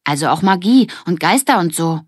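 Woman as a lively, wonder-filled young girl. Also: on the low side, normal speed, husky, dry recording, clean studio sound, pitched above the performer's own voice. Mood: earnest, wondering. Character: lively young girl, dreamy believer in magic.

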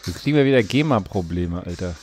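A man's voice: low voice, animated, fast, darkish tone, soft background music. A man co-hosting a podcast, dropping short joking remarks. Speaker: podcast co-host.

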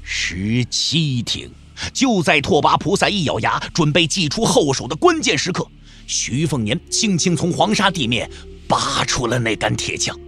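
A man's voice: fairly high pitch, big pitch swings, moderate pace, fairly bright tone. A man narrating a martial arts novel as a scripted audio drama, with an emphatic, suspenseful storytelling delivery. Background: background music.

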